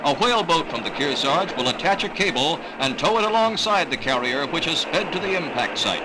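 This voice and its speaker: An American man reading scripted 1960s newsreel narration in a dramatic, measured announcer delivery. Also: a little high, sing-song, background noise. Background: music.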